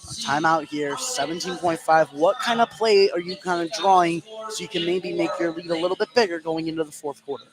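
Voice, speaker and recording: An American man doing live basketball play-by-play, getting excited and stretching his words on big plays. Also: fairly high pitch, a little fast, few pauses, a crowd in the background, poor audio. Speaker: sports play-by-play announcer. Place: indoor high school gym. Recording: clear gym noise behind the voice. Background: gymnasium ambience.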